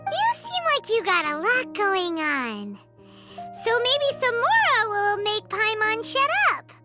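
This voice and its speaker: A woman voicing a tiny, excitable, blunt fairy girl in a video game, with a squeaky childlike voice and an exclamatory manner. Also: slow pace, exaggerated voice. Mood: cheeky, curious. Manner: exclamatory, speaks of herself in third person. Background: music.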